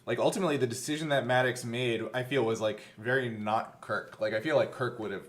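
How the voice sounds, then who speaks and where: A man chatting casually as a game master looking back on a show, telling it in an animated way and acting out reactions. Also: medium pitch, a little fast. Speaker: game master recalling a show's first season. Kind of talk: casual behind-the-scenes chat.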